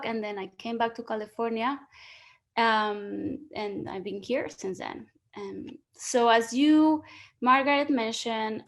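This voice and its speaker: A woman with a Spanish accent gives a science talk over a video call. Her voice sits a little high. She explains patiently and often pauses on fillers and restarts her phrases. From Spain. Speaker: guest lecturer, soil ecologist. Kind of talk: online presentation. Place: home or office, video call.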